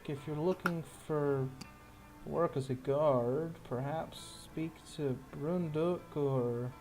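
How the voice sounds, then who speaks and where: Fantasy town official, acted by a man: measured, pondering, slow delivery, slightly high male pitch. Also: darkish tone. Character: unhurried, hesitant male town trade official.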